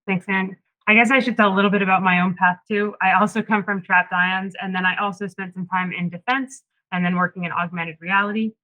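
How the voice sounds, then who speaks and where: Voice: medium pitch, fairly even intonation, a little fast, few pauses, narrow, tinny audio. A panel moderator who works in product, chatting in a friendly, conversational way and putting questions to the guests. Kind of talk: hosting a careers panel.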